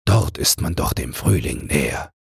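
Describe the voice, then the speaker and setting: Mann spielt alten, heiseren, weisen Spatz. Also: male voice, a bass voice, lively intonation, moderate pace, vocal fry, slightly rough, no echo, studio quality, full character voice. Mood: knowing. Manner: wise, opinionated. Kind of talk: fairy-tale audiobook character voice.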